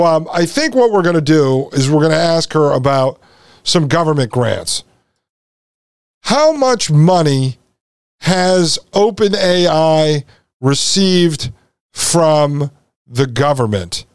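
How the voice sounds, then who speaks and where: A man hosts a talk show in a conversational, explanatory way. His voice sits a little higher than most men's, and the sound is very dry, with no room around it. He speaks straight to his audience as he lays things out. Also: no echo. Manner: addresses listeners as folks, explanatory. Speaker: podcast host. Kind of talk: conversational show commentary to listeners.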